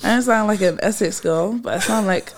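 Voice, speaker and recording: A young woman with a London accent, hosting a casual podcast chat and pushing back with quick retorts. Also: mid-range voice, creaky. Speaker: podcast host.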